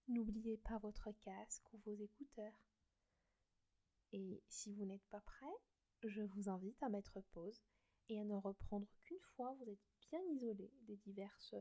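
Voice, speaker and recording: A woman in a soft breathy whisper, gently guiding a meditation close to the mic. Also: mid-range voice. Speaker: meditation guide.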